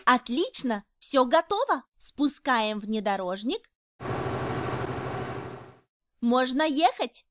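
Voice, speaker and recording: Woman, high-pitched, scripted kids' narration, instructional, noisy sound effects in background. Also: big pitch swings, takes it slowly. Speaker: narrator of a children's educational cartoon.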